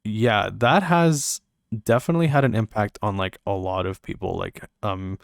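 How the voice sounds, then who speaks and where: Low-voiced young man hosting a chat. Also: normal speed, gravelly, no room sound, clean studio sound. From Canada. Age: young adult. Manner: relaxed, filler-heavy, laughs easily. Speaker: podcast host. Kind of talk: casual chat.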